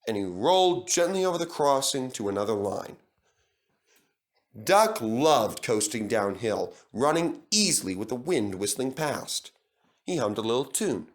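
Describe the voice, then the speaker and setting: A man narrates a children's story in scripted storybook style. He takes his time over each phrase at a middle pitch. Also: big pitch swings, a little slow. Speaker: storyteller, fandub reader.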